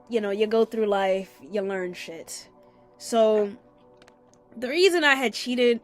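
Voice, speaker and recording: A woman's voice: on the high side, fairly bright tone. Congested young woman telling stories. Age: young adult. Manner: candid, chatty, swears casually. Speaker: podcast host. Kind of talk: casual storytelling podcast.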